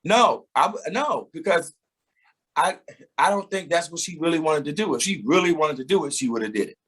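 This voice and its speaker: Husky-voiced man telling his story. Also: fairly high pitch, animated, a little fast, a bit bright. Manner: reflective, storytelling, laughs often, repeats words when starting. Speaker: singer and interview guest. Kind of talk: casual conversational interview.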